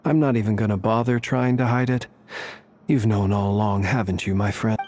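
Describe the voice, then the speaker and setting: A man's voice: fairly low pitch, fairly even intonation, normal speed, darkish tone, lightly acted. A man playing a shrewd, easygoing fantasy game character with a dry, knowing, teasing manner. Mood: wry, knowing. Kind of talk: video game character acting.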